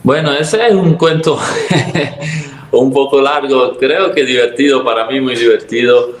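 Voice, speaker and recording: A man's voice: mid-range voice, steady tone, unhurried, reverberant, slight background noise, low-quality recording. A man in his thirties from Venezuela with a Venezuelan Spanish accent, a pastor telling his testimony in an earnest, reflective way. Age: 30s. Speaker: pastor, guest. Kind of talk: testimony interview.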